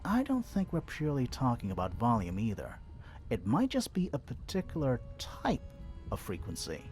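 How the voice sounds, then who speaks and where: A man's voice: mid-range voice, huge pitch swings, normal speed, a little mellow. An actor playing an eager, theatrical detective in a video game, explaining his reasoning expressively over music. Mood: explaining, intrigued. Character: eager male detective, theatrical, reasoning aloud through clues. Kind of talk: video game voice acting, dialogue. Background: background music.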